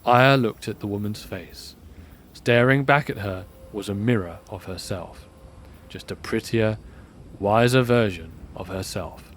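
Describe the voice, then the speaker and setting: A man's voice: lowish voice, unhurried, a little hiss or hum. Male storyteller over faint ambience. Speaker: narrator. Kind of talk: scripted story narration.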